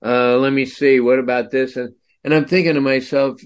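A man's voice: mid-range voice, steady tone, normal speed, clean tone. A man hosting a podcast, chatting casually and telling a story with incredulous asides. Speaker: podcast host. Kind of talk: casual interview chat.

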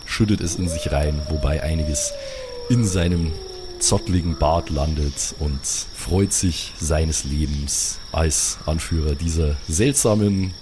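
Male game master, very low voice, colloquial dramatic narration, faint noise behind. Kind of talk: improvised storytelling for a tabletop audio play.

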